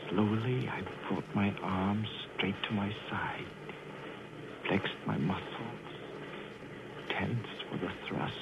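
A man's voice: on the low side, background noise. An actor playing a nervous male murderer who narrates his crimes in 1940s radio drama style, growing frantic. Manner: slow, then frantic and unravelling. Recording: muffled old radio broadcast with music.

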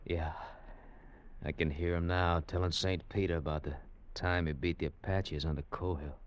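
A gruff field soldier, played by a man, with an extremely deep voice. He is laconic and wry in vintage radio drama style. The recording is dull and old. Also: fairly even intonation, normal speed, natural voice. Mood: wry, subdued. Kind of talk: 1940s radio drama acting.

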